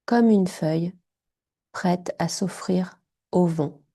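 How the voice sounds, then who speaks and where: A woman guiding a yoga nidra relaxation in short, hushed, soothing phrases. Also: on the low side, takes it slowly. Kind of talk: guided meditation.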